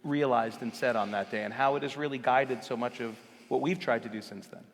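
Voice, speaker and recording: A man moderating an onstage conversation at a live event, earnest and halting, with frequent restarts. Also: medium pitch, big-room sound. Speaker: moderator, organization CEO. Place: large hall, live event.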